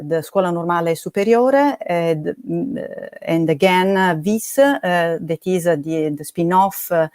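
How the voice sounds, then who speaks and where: A woman opens an online event as host, pausing often and filling the gaps. Her voice sits low for a woman. The sound is dry and close. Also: darkish tone, no echo. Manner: halting, many fillers. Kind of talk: hesitant opening remarks.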